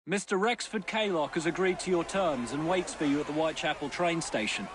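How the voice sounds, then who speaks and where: A man's voice: fairly high pitch, brisk, lightly acted. A man acting a Victorian gang messenger, making formal announcements in a game cutscene. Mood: businesslike.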